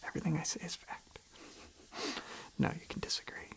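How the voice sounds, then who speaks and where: A whispered, breathy voice rambling sleepily and gently in casual ASMR style, softly repeating phrases. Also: brisk. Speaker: ASMR video creator. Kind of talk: ASMR, softly rambling casual chat while tapping on objects. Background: tapping and clicking on objects.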